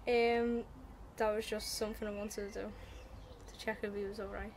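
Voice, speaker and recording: A young female interviewee, giving a halting account as an eyewitness. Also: mid-range voice, flat delivery, darkish tone. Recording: noisy location interview sound.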